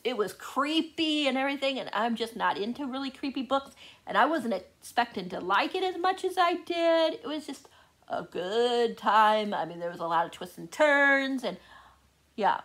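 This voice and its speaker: A woman's voice: a little high, expressive, moderate pace, fairly bright tone. A woman book vlogger chatting casually to viewers, gushing and rambling as she searches for words.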